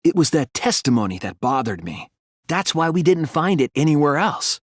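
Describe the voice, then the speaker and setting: A man voicing an earnest, thoughtful teenage boy detective in an English video game dub, reasoning deliberately through his lines. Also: a little high, very animated, no echo, studio quality.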